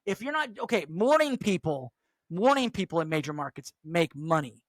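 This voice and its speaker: A middle-aged man, a radio host, chatting casually and telling stories with a higher voice and lots of restarts. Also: big pitch swings, moderate pace, no room sound. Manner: chatty, storytelling, frequent restarts. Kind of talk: casual chat.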